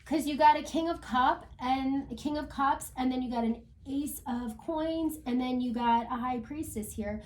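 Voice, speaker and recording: A woman with a hoarse, fading voice from a cold, giving a chatty tarot reading at home with lots of fillers. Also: sits high, moderate pace, hardly any pauses, darkish tone, distant mic, low-quality recording. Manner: chatty, filler-heavy, talks to spirit and viewers. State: hoarse, losing her voice from a cold. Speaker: tarot reader. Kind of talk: casual tarot reading to camera. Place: upstairs room at home.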